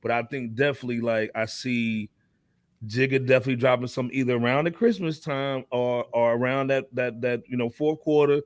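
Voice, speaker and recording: A man's voice: mid-range voice, steady tone, a little fast, dry recording, studio quality. An American man hosting a casual hip hop discussion, speaking in slang with emphatic repetition. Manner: slangy, emphatic, repeats himself. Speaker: podcast host.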